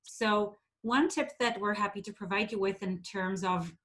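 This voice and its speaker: A woman from Israel whose first language is Hebrew, coaching public speaking in a clear, deliberate way and sometimes exaggerating words to demonstrate. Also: mid-range voice, a bit bright. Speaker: presentation skills coach. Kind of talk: instructional talk.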